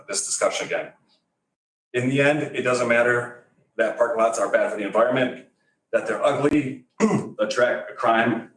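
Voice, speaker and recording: A man in his forties, born in Greece, reads his public comment with a firm, wry edge. His voice sits lowish. It comes from a podium mic some distance off, in a council chamber that echoes. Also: a bit bright. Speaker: resident giving public comment.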